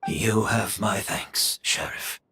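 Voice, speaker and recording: A man acting as an ailing, remorseful gentleman merchant in a video game, measured, polite and resigned. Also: fairly low pitch, distant mic, faint music behind.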